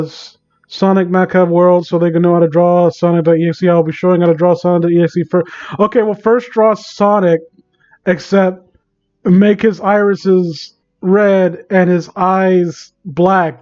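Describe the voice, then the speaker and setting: Male livestreamer, high voice, unhurried halting pace, sarcastic exasperated commentary, sweary. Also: flat delivery, darkish tone, clean tone, dull audio. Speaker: live streamer reading and mocking online fan fiction. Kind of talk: casual livestream reading and commentary.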